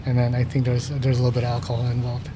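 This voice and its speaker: A man's voice: mid-range voice, very fast. A man in his forties, a guest artist on a podcast, talking casually with lots of laughs and ums. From San Diego, California. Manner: relaxed, laughs often, trails off with ums. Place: comics shop cafe. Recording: faint ambient noise in a cafe.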